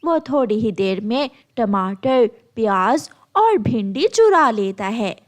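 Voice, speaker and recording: A woman's voice: a little high, sing-song, slow. A woman storyteller reading scripted narration for a children's cartoon tale.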